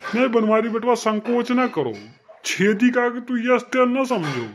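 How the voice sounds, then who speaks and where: A man's voice: extremely high pitch, unhurried, slight background noise. A man voicing a tipsy older village uncle in a comic cartoon, rambling and insisting boastfully with an Awadhi accent. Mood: tipsy insistence.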